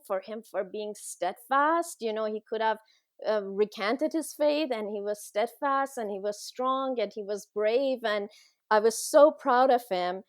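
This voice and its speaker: A woman's voice: fairly high pitch, no room sound. A middle-aged woman from Iran telling her personal story conversationally as a podcast guest, in a heartfelt way.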